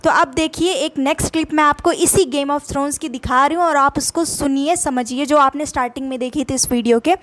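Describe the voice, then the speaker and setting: Young Indian woman, high voice, Indian accent, patient English tutor who keeps rephrasing. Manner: explains patiently, repeats meanings in Hindi and English. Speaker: English vocabulary teacher. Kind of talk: casual spoken-English lesson.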